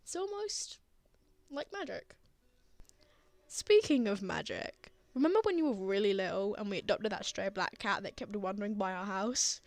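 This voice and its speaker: A woman playing a gentle, reassuring father who comforts a frightened child in a tender ASMR roleplay. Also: medium pitch, animated, moderate pace, dry recording, lightly acted. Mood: playful, teasing. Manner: soothing, tender, earnest.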